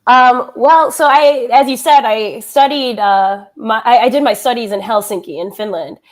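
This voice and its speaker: An American woman in her thirties answering interview questions informally on a video call, with an animated, smiling manner. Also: a little high, normal speed. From Wisconsin, United States. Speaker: expert guest. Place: indoor room at home, video call.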